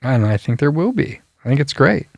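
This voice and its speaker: A man's voice: mid-range voice, very animated, brisk, creaky, no echo. A man hosting a podcast, asking his guest easygoing questions. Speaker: podcast host. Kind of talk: casual interview.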